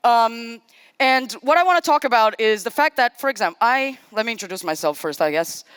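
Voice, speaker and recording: Lively joking woman. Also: fairly high pitch, fairly quick, clear, resonant tone, no room sound. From Portugal. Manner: casual, self-deprecating, joking. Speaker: conference speaker. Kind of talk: live-coding tech talk. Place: conference stage.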